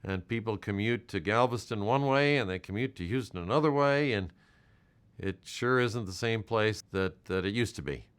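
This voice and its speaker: An older man with an American accent, talking in a gentle, halting, reassuring manner as a volunteer making a check-in call. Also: on the low side, smooth. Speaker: health department volunteer caller. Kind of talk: supportive check-in phone call.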